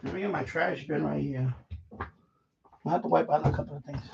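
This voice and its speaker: A man with a creaky, gravelly edge rambles through an informal live stream from a room at home. His talk is slangy and loose, half to himself. The sound is dull and lo-fi, picked up from a distance. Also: a little high, fairly quick, noisy background. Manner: informal, slangy, swearing, talking to himself while handling objects. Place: room at home being set up as a radio shack.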